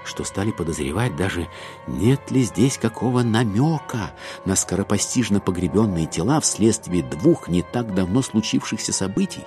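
An actor narrating a satirical classic as a wry, ironic and emphatic storyteller in an audio play. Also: male voice, on the low side, expressive, moderate pace, warm tone, faint music behind, close to an everyday voice.

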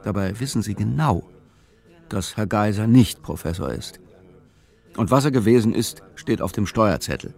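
A man narrating a literary audio drama in measured, clipped, matter-of-fact phrasing. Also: fairly low pitch, expressive, gravelly, darkish tone. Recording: music behind the voice. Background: music.

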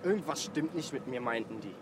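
Excitable naive young guy, acted by a man, outdoors. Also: mid-range voice, fairly quick, heavy background noise, close to an everyday voice. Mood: aggrieved. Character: excitable, naive young male hanger-on from the youth club. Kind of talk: slangy interview-style radio drama monologue.

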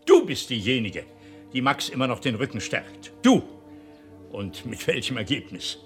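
A middle-aged patriarch in a radio play, used to giving orders at work and at home. His pitch leaps about as he moves between biting sarcasm and explosive command, with music in the recording. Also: wildly swooping pitch, normal speed. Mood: indignant, scornful. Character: domineering, hot-tempered father and company boss. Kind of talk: radio drama acting.

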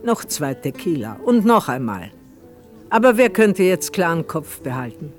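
The storyteller of a radio play. His voice sits slightly high for a man, and he delivers scripted narration over faint music. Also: sing-song, normal speed. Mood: measured narration.

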